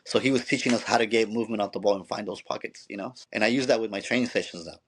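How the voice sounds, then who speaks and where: A man with a lowish voice who talks quickly, piling up restarts and tossing in "you know" every few phrases. He tells stories from his playing days the way he would tell a friend over coffee. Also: slight background noise. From Mexico. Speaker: former pro player, youth coach. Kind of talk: conversational storytelling.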